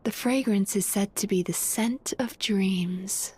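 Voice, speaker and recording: Soft, breathy young woman therapist. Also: mid-range voice. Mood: hushed, soothing. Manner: coaxing, unhurried, calmly reassuring. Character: gentle, playful young female dream therapist soothing a patient. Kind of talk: soft, soothing guided-hypnosis game dialogue.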